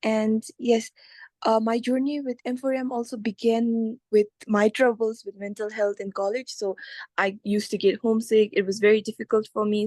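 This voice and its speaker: A woman's voice: medium pitch, no echo. A woman in her twenties moderating an informal online webinar. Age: 20s. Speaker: session moderator and consultant. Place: online video call.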